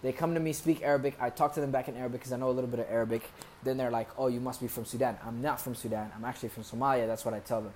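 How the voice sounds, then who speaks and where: Man, young adult, American accent, podcast guest, animated storytelling, joking. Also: mid-range voice, racing, a little hiss or hum. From United States. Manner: animated, joking, laughs through his stories. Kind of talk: casual conversational storytelling.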